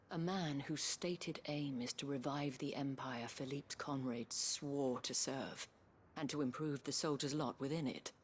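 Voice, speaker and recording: An actress playing a worldly, anxious dame in a video game, measured, eloquent and weary, with a breathy, hushed delivery. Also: female voice, a bass voice. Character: worldly, anxious dame looking after her wards. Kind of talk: scripted video game drama.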